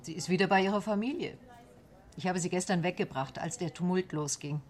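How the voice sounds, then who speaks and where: A woman's voice: fairly low pitch, a little fast, natural voice. A woman acting in a radio play as a level-headed, pragmatic private detective, matter-of-fact and composed.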